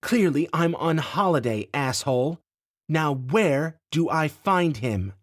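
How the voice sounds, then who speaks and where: A man's voice: a little high, animated, slow pace, no room sound, clean studio sound, voice pushed up. A man doing a fierce, curt young woman. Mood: demanding. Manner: curt, demanding.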